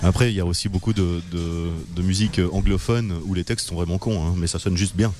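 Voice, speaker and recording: Male interviewer, very deep voice, hesitant filler-laden questions. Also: steady tone, a little fast, darkish tone.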